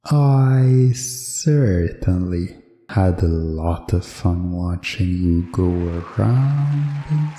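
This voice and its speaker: A man's voice: deep voice, mellow, echoey room, big-room sound, exaggerated voice. A man playing a showy, mocking game master who toys with his victim in an audio hypnosis drama. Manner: mocking, theatrical.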